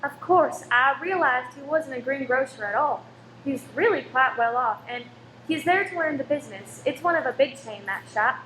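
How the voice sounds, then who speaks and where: A woman acting a sensible, kindly elder sister in a radio play, gentle and polite. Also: high voice, lively intonation, normal speed. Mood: lightly teasing. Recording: echoey room with faint noise.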